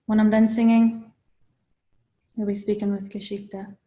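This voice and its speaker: A woman in her forties opening a webinar as a spiritual channeler. She gives soft, halting, reassuring guidance into a computer mic. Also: mid-range voice, level tone, lo-fi sound. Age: 40s. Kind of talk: introduction to a webinar.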